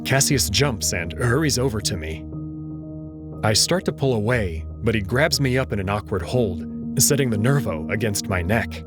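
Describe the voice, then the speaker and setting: A man's voice: fairly low pitch, animated, moderate pace. A man reading dramatic first-person narration from a visual novel. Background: music.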